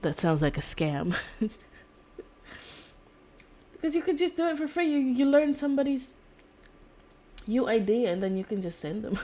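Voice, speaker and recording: A woman's voice: fairly high pitch, very animated, normal speed, long pauses, dark tone. A woman streamer chatting casually while gaming, in a rambling, giggly way.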